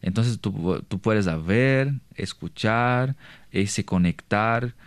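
A young man from Brazil speaking Spanish with a Brazilian Portuguese accent, a guest on a radio show who pauses often to search for words. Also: fairly low pitch, no echo. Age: young adult. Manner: hesitant, searching for words in a second language. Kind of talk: radio interview.

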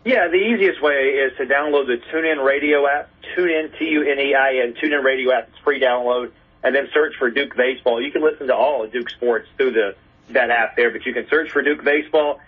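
A man's voice: medium pitch, brisk, slightly rough. An American man, a sports announcer, chatting casually as an interview guest over a remote call. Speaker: baseball radio play-by-play announcer, podcast guest. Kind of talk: casual anecdotal interview chat. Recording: narrow, telephone-like call sound.

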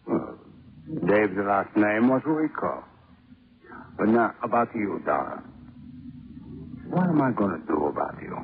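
A menacing mob boss in an old radio crime drama. His voice sits very low, and he talks in halting, rough grammar with smug, deliberate pauses. The recording is muffled and noisy. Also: sing-song. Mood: mocking, threatening. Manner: halting, ungrammatical tough-guy talk with deliberate pauses. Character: menacing deported male gangster boss, slow and smug. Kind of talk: 1950s radio drama acting.

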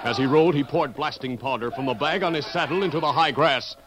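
A man playing the dramatic narrator of a 1950s radio western adventure, with an American accent and deliberate storytelling delivery. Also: on the high side, a crowd in the background, close to an everyday voice. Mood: excited. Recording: old radio broadcast recording.

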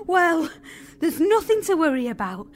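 Plucky schoolgirl lead for kids' radio comedy: high, animated, unhurried, sensible and bossy. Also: heavily acted. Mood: reassuring. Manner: earnest, exclamatory storytelling. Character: plucky, ordinary young girl adventurer, sensible and bossy. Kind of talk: children's radio comedy drama acting.

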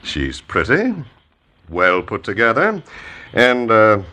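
An actor in a 1950s radio western playing a menacing, mocking saloon boss. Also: male voice, on the low side, huge pitch swings, slow pace, bright, forceful tone, exaggerated voice. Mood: taunting. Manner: taunting, mocking. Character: menacing saloon boss, smooth, sneering villain. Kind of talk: 1950s radio drama acting.

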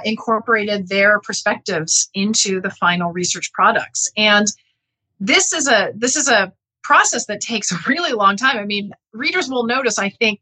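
A woman speaking as a professor in an interview, explaining her research in an earnest, measured, halting way with self-corrections. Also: medium pitch, sharp tone, husky, rough recording.

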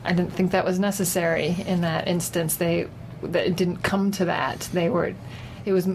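A woman chatting casually and unscripted as a guest giving a film review, with a hesitant, halting delivery. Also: lowish voice, little pitch movement. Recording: noticeable background noise.

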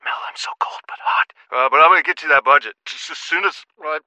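A man's voice: a little high, fast talker, sharp tone, no echo. A man playing a flustered, feverish dad phoning in sick in an acted ad, with a thin radio-like sound. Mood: flustered, ailing. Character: feverish man calling in sick, distracted father. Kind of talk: acted ad scene.

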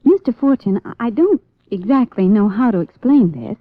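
A woman's voice: on the high side, lively intonation, normal speed, dry recording. A woman playing a coy, anxious stage actress with a hesitant, genteel American delivery in 1950s radio drama. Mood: coy, nervous. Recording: tinny, narrow-band 1950s radio recording.

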